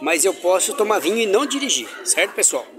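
A man's voice: sits high, sing-song, quick pace, over music. A man talking casually and cheerfully to his camera while walking around an indoor farmers' market, chatting with vendors and children. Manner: cheerful, chatty, religious exclamations. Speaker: travel vlogger. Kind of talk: casual vlog chat.

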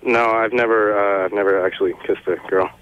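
Deep-voiced teen caller on a phone line. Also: male voice, steady tone, brisk. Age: teens. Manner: deep voice, eager and pleading.

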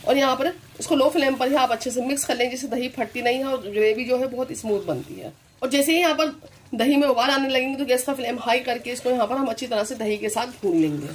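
A woman with a Delhi-area Hindustani accent explains a home recipe step by step in a calm, instructive way. Her voice sits a little high. Faint kitchen sounds run underneath. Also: quick pace, slight background noise. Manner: calm instructive, repeats "here" and "this way". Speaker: cooking channel host.

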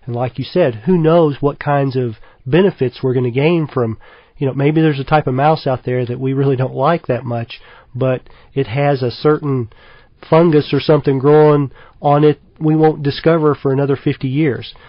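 A man's voice: medium pitch, steady tone, moderate pace, no room sound, lo-fi sound. A middle-aged man from Kentucky, a wildlife biologist, talking in an easygoing, thoughtful way as a radio interview guest. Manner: easygoing, thoughtful, lots of fillers.

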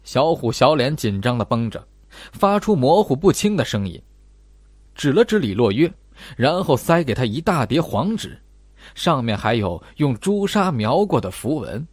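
A man reads a suspense horror audiobook in a medium-pitched, husky voice. His scripted delivery is dramatic, with wide swings in intonation, and the recording is close and dry. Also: very animated, normal speed, no echo. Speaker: audiobook narrator.

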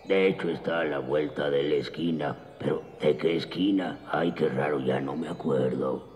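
Low-voiced, forgetful old grandfather elf. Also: normal speed, darkish tone. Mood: kindly, doddering. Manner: wise but doddering. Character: tiny, very old, forgetful grandfather gnome-like creature. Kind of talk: cartoon dub acting. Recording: long reverb, faint music behind.

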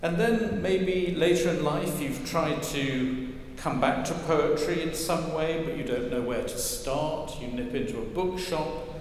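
An older man preaching a sermon from a church lectern, wry and gently humorous as he tells stories. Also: a little high, measured pace, few pauses, a little hiss or hum. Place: large stone church, at a lectern. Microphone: lectern mic, far camera. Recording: echoey church with long reverb.

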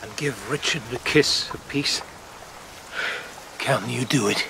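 A man's voice: medium pitch, slow pace, creaky, a crowd in the background, rough recording. An actor playing a stern, loyal knight in the king's service, blunt and grave, in a historical radio drama. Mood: grave, urging.

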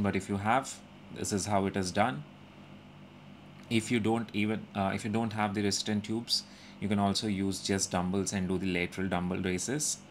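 Calm low-voiced man explaining a workout. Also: slight background noise. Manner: calm explanatory, with frequent "uh" pauses. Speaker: fitness vlogger. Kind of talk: instructional workout voice-over. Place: indoor gym.

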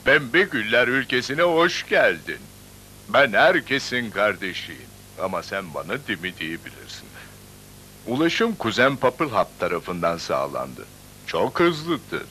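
A man's voice: fairly low pitch, very animated, a little slow, pushed and bright, slight background noise, heavily acted. A man voicing a small friendly magical creature who guides and narrates in a dubbed cartoon. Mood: explanatory, eager. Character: small friendly magical creature, everyone's brother, guiding storyteller.

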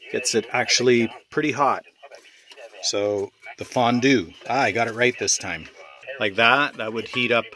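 A man's voice: mid-range voice, unhurried. Chatty man on a boat. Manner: chatty, relaxed, with restarts and "eh?" tags. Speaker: sailboat designer and vlogger. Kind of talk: casual how-to vlog narration. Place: small sailboat cabin at night.

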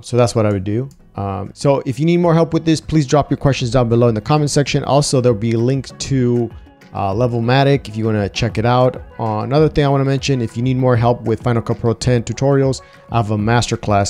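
A young man giving a casual software tutorial, talking through each step with frequent "uh" and "um" fillers, on a lapel mic. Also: mid-range voice, fast, soft background music. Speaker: video editing tutor. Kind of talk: screen-recorded software tutorial. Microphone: wireless lapel mic.

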